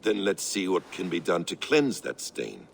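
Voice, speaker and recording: Game role: cryptic assassin mentor, calm and measured, low voice with lively melodic swings, faint ambient noise. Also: male voice, vocal fry, full character voice. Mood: instructive. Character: calm secretive male master assassin, mentoring. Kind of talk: video game dramatic acting.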